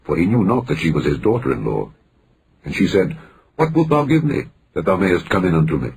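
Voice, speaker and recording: Low-voiced guy reading old bible verses, pitch moves around a lot, measured phrasing, noticeable background noise. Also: big pitch swings. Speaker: scripture reader. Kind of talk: solemn scripted Bible reading.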